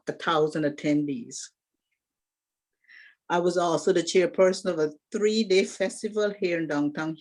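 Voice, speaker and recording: A woman in her sixties, originally from Guyana, presenting herself as a candidate for an alumni association presidency in an earnest, organized prepared speech with occasional restarts. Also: lowish voice. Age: 60s.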